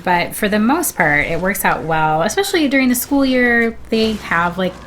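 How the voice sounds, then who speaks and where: A woman's voice: medium pitch, lively intonation, normal speed, a bit bright, slight background noise. A middle-aged woman talking casually as a guest on a game stream. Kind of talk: casual chat.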